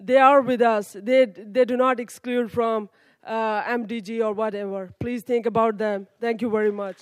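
A man's voice: very high voice, fairly even intonation, normal speed, smooth, no echo, a faint crowd. A man in the audience making an earnest, pleading comment with some hesitations. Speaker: audience member. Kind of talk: impromptu comment from the floor.

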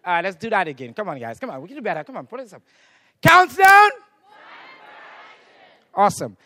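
Lively, rousing man from Sierra Leone. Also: high voice, brisk, no echo. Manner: rousing, calls out to the crowd. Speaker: moderator of a youth forum. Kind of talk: energetic audience moderation.

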